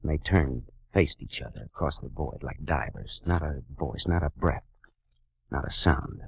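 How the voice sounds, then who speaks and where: An actor playing a hard-boiled private detective who narrates in terse, dry first-person lines in a 1940s radio drama. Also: male voice, very deep voice, moderate pace, vocal fry, husky, no room sound, exaggerated voice. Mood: tense. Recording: dull vintage radio recording with music.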